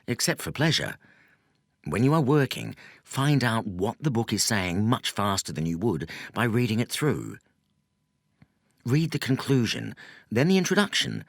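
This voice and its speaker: A man reading a nonfiction audiobook in a measured, articulate way with emphatic phrasing. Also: lowish voice, a bit bright, no room sound, clean studio sound. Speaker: audiobook narrator. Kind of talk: scripted audiobook narration.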